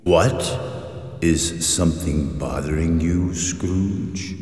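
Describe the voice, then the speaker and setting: A man's voice: deep voice, takes it slowly, darkish tone. A man acting in a radio drama as a stern ghostly spirit, solemn, probing and taunting. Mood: probing, urgent. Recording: echoey long reverb, faint music behind.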